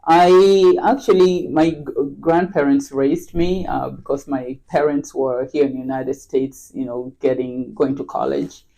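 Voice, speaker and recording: Lady, middle aged, podcast guest, slow easy chat full of ums and you knows. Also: very deep voice, steady tone, dark tone, poor audio. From Kenya. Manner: unhurried and hesitant, many fillers and restarts. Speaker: podcast guest, real estate agent. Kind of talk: casual interview chat.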